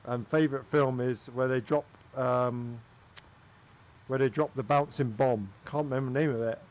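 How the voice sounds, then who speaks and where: A man's voice: mid-range voice, steady tone, moderate pace. A man from Wales with a Welsh accent, giving casual, chatty commentary while flying a flight simulator. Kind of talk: casual gaming commentary with chat.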